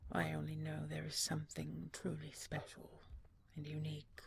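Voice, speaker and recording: A woman playing a tender, regal dragon queen in an intimate audio roleplay, soft and breathy, often dropping to a whisper, with gentle, halting endearments. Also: low-pitched, monotone, slight background noise. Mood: tender, earnest. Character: tender, regal female dragon queen consoling her beloved. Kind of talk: intimate audio roleplay, sleep-aid storytelling.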